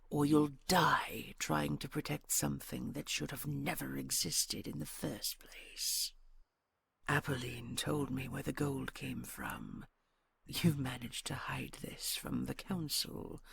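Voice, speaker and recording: A woman playing a commanding, dryly teasing she-dragon in a fantasy audio roleplay, with a gravelly, breathy growl. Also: a bass voice, full character voice. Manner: imperious, slow, theatrical.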